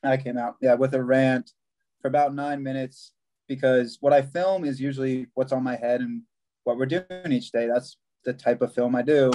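A man's voice: mid-range voice, fairly even intonation, normal speed, dark tone. A young man, a long-distance hiker, chatting over a video call from a small room, often restarting his sentences and laughing easily. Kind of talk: casual video call chat.

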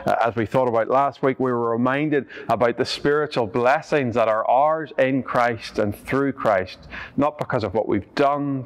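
A Northern Irish man in his thirties gives a sermon inside a church. His delivery is earnest and steady, with the occasional filler between phrases. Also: medium pitch. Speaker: preacher. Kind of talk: sermon reflection. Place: church interior, standing before the altar.

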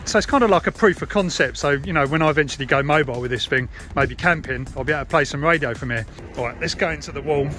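Male vlogger, 40s, somewhat high voice, casual explainer delivery, faint music behind. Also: quick pace, pushed and bright. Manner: informal, chatty. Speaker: radio hobby vlogger. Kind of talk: casual explanatory vlog to camera.

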